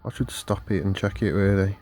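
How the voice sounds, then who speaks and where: Rambling low-voiced man on a phone. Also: brisk, darkish tone, slight background noise. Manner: rambling, hesitant, many fillers and restarts. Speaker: video collector vlogger. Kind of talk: casual rambling haul video. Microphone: phone camera.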